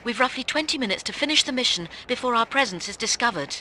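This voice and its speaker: A woman playing a brisk spy team colleague who gives instructive, authoritative mission briefings in a video game. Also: on the high side, expressive, lightly acted.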